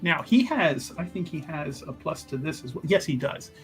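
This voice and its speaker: Guy with a high bright voice, animated, making up rpg character stuff on the fly. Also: normal speed, soft background music. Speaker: player in a tabletop roleplaying game. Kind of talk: casual improvised storytelling, describing own character.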